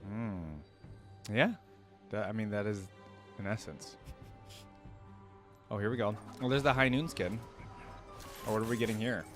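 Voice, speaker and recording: A man's voice: on the low side, steady tone, measured pace, long pauses. A man giving casual, rambling reaction commentary as a video host, thinking aloud. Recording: music playing behind.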